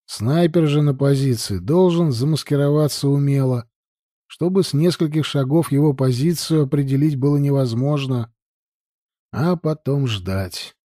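A man's voice: mid-range voice, normal speed, mellow, no echo, studio quality. A man reading a novel aloud as scripted audiobook narration.

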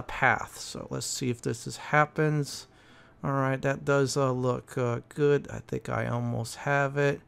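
Man, gaming host, clear voice with vocal fry, halting casual walkthrough commentary. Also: medium pitch. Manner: halting, explanatory.

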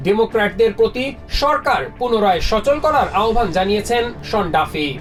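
A woman's voice: a little high, animated, fairly quick, far from the mic, faint music behind. A woman reporter delivering scripted news narration.

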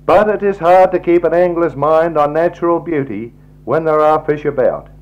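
A man's voice: on the high side, moderate pace. Australian man narrating on dull vintage tape. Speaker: slideshow narrator. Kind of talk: 1960s scripted travelogue narration. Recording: dull, lo-fi 1960s tape recording.

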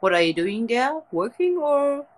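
A woman's voice: fairly high pitch, a little slow. A young woman from Germany with a German accent, chatting casually with viewers on a late-night livestream from her bed, talking into a phone held close. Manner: lying down, relaxed, chatty with viewers. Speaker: livestream host. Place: bedroom at night.

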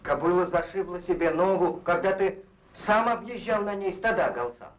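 A man's voice: sits high, moderate pace, lightly acted. An actor playing a proud, honest young herdsman in an old cartoon, with earnest, declaimed delivery. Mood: firm, protesting. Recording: dull old film soundtrack with music.

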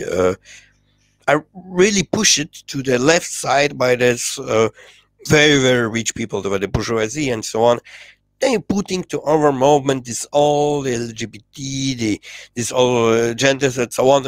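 A man in his thirties with a Polish accent, a guest in a casual political conversation on a video call, earnest and halting with many fillers. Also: mid-range voice, dry recording. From Poland. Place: small home room, indoors. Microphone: headset on a video call.

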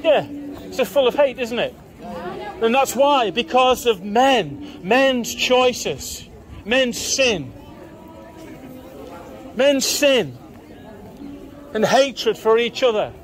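A man's voice: very high voice, very animated, takes it slowly, pauses often, a little hiss or hum. A man preaching in the open air on a busy town market street, firing repeated questions at passers-by. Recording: outdoor street with faint crowd.

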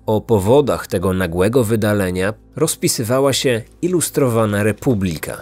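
A man's voice: fairly low pitch, normal speed, a little mellow, slightly rough. Man narrating true crime over music. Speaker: podcast host and narrator.

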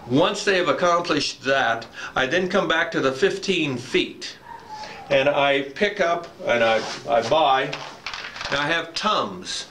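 A man's voice: on the high side, sharp tone. An older man giving an informal instructional talk to camera as a firearms instructor, explaining step by step and repeating key points. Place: dim wooden barn or shed, indoors. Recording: echoey room, faint background noise.

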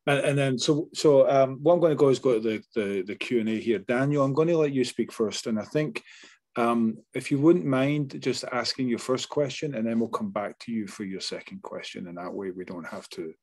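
A man's voice: medium pitch, moderate pace, a little mellow. A middle-aged man moderating an online Q&A, rambling with repeated words and restarts. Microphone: webcam on video call.

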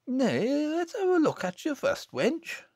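A sour, scolding village mother from an audiobook tale, acted out by a man. The voice is pushed up high and swoops about in testy rustic dialect, harsh in temper and quick to chide. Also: big pitch swings, no room sound, studio quality, heavily acted. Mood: sour, teasing.

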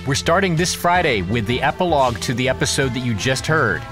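A man's voice: medium pitch, expressive, fairly quick. A man reading scripted credits and announcements as the announcer of an audio drama series. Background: music.

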